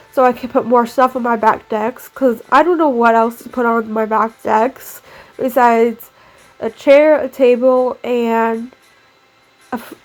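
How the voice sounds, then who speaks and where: A woman's voice: fairly high pitch, poor audio. Congested young woman chatting over game building. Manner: chatty and rambling, lots of "like" fillers. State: congested, nasal from a cold. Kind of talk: casual voice-over commentary while building in a game. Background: faint background music.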